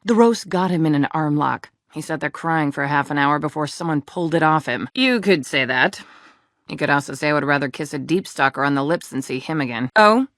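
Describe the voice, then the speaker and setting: A blunt female dwarf serving in a fantasy game tavern. Her voice sits a little low for a woman, recorded dry, and she talks with earthy, sardonic bite. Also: a little fast, a bit bright, no echo. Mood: scornful, irritated. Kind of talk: video game character dialogue.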